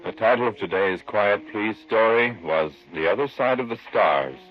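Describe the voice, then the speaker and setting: A man announcing in a formal, measured old-time radio style. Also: lowish voice, slight background noise. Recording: dull, dry old radio recording.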